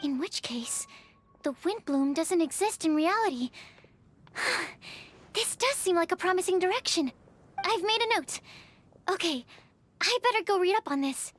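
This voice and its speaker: A woman's voice: very high voice, expressive, normal speed, long pauses, a little hiss or hum. A woman playing a shy, studious young female alchemist in a video game, speaking in a hesitant, careful, analytical way. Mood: earnest, pensive. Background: music.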